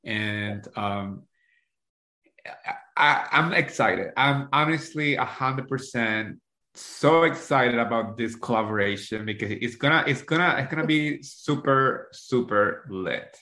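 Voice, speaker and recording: A man speaking as a podcast collaborator in casual promotional chat, halting and repeating words as he hypes things up. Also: mid-range voice, bright, forceful tone. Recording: roomy, echoing sound.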